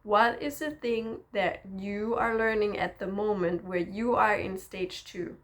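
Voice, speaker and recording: A woman talks slowly and unhurriedly as she teaches self-development to camera, her pitch sitting in the middle range. She is reflective and encouraging, pausing to check in with a gentle questioning tag. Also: steady tone. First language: Danish. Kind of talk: personal teaching monologue to camera.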